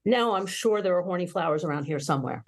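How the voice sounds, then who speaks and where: A woman's voice: fairly low pitch, animated, quick pace, gravelly. A woman playing a brash, sardonic young starship officer in an amateur sci-fi comedy table read. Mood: sarcastic. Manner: sardonic, blunt.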